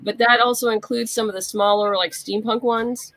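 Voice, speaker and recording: A woman's voice: fairly high pitch, steady tone, moderate pace, a bit bright, poor audio. A woman being interviewed, chatting casually and explaining things with plenty of "you know". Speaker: interview guest, comic writer.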